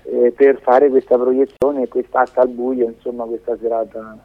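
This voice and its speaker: A man's voice: medium pitch, little pitch movement, moderate pace. Wry, rambling man, muffled sound. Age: middle-aged. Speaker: guest filmmaker interviewed by phone. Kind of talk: casual chat. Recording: dull, band-limited phone-like sound.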